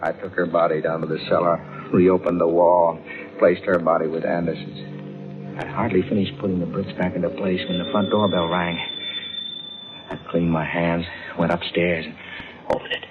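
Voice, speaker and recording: A tinny vintage radio recording, with music, carries a low-voiced actor playing a guilt-ridden criminal. He is tense and faltering, like a man haunted by what he has done, in classic crime-drama style. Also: little pitch movement, normal speed, close to an everyday voice. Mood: grim narrating. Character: guilt-ridden, nervous man, a murderous diamond thief. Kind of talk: old-time radio crime drama acting with first-person narration.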